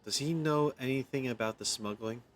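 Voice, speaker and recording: A man's voice: medium pitch, brisk. A man doing casual let's play commentary as a gaming streamer, with a sceptical, sarcastic and blunt manner.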